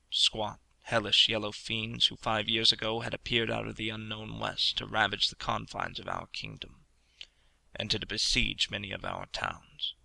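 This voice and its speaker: A man narrates classic fiction in a solemn, dramatic, measured way. His voice is intensely creaky and gravelly, with a hard, sharply forceful edge. The recording is very dry, with no room sound around him. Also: fairly low pitch, no echo, poor audio. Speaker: audiobook reader. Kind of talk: scripted literary narration.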